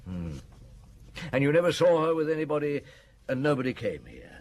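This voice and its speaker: An actor in an audio drama playing a seasoned French chief inspector, brisk and thoughtful, asking probing questions between pensive hums. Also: male voice, a little high, big pitch swings, a little mellow. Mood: businesslike, probing.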